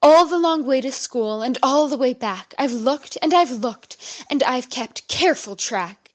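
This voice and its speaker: A woman with a notably high voice performs as a guest storyteller. She reads expressively, with a rhythmic storybook delivery meant for children. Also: expressive, measured pace. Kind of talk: dramatic reading of a children's story.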